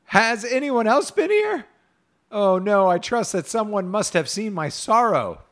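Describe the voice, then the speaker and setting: A man's voice: mid-range voice, big pitch swings, measured pace, a bit bright. A man voicing a miserable medieval knight who laments and pleads in archaic, courtly words. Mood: woeful lament.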